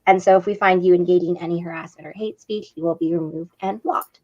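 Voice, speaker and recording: A woman's voice: fairly low pitch, fairly even intonation, fast. A woman hosting an online book panel, giving a casual introduction over a lo-fi microphone. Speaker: panel host, book reviewer. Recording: dull, lo-fi microphone sound.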